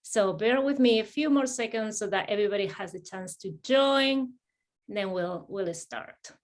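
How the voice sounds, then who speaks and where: A woman's voice: medium pitch, normal speed. A middle-aged woman from Spain with a Spanish accent, hosting an admissions webinar in a friendly way, with many fillers and restarts. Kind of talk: webinar presentation.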